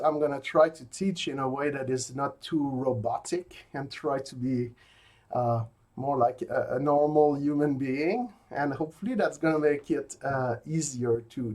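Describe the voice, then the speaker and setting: A man's voice: medium pitch, darkish tone. A man from rural Quebec with a Québécois French accent, a native French speaker giving a pre-recorded university logic lecture from his office, with many fillers and restarts.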